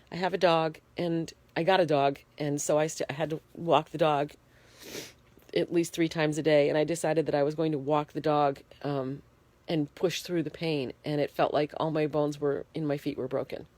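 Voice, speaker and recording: A woman in a bedroom talking frankly into a handheld phone, congested and shivering, pausing often with fillers. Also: low-pitched, a little mellow, no room sound. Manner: plain-spoken, frank, halting with fillers. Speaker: vlogger. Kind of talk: casual personal video diary. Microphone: phone held in hand.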